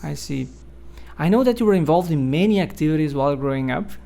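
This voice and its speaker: A man hosting an interview, politely introducing his guest and asking measured, confirming questions. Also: a little high, lively intonation, vocal fry, warm tone. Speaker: interview host.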